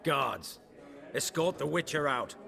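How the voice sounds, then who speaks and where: An actor playing a haughty, suspicious auction house owner in a video game, cold, curt and imperious. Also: male voice, on the high side, animated, slow, vocal fry, bright, forceful tone, heavily acted. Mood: imperious anger.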